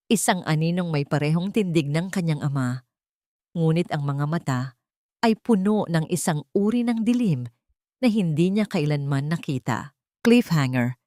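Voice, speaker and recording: A woman's voice: sits low, dark tone, no echo, studio quality. A woman reading a scripted story as a dramatic audiobook narrator.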